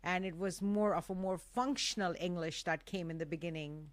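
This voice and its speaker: A woman's voice: fairly low pitch, normal speed. A woman whose first language is Urdu, hosting a casual live talk show with an effusive, emphatic manner that repeats phrases for emphasis.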